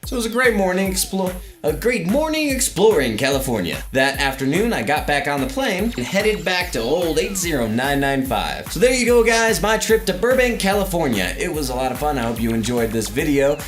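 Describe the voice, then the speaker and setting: Lively male podcast host, fairly high voice. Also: a little fast, few pauses. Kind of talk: scripted vlog narration. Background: music under a voice-over.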